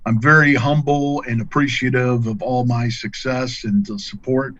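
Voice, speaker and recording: Middle-aged male angler, folksy podcast guest, mid-pitched voice, slightly muffled lo-fi sound. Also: steady tone, moderate pace, a bit bright, dry recording. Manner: folksy, plain-spoken. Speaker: podcast guest, angler and fishing pro staffer. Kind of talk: casual conversational storytelling.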